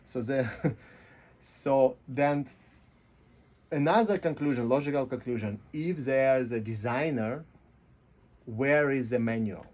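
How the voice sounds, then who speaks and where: Mellow man, explaining with halting reasoning. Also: mid-range voice. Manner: halting, rhetorical, often ends points with "right?". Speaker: interview guest. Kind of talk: casual philosophical explanation.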